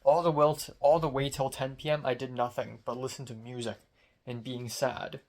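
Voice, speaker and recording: A man's voice: medium pitch, little pitch movement, moderate pace, darkish tone. A young man from Hong Kong speaking in a casual, late-night diary vlog. His throat is sore and strained, and he rants with sarcasm, swearing and self-mockery. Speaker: vlogger.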